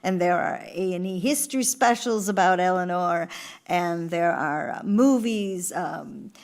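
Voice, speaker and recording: A woman's voice: lowish voice, measured pace. A woman with an American accent chatting emphatically as an actress in a casual on-stage interview.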